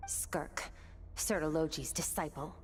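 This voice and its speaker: A woman voicing a cool, composed young swordswoman in a video game, confident and measured in manner. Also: low-pitched, fairly even intonation, in a whisper. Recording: loud game music over voice.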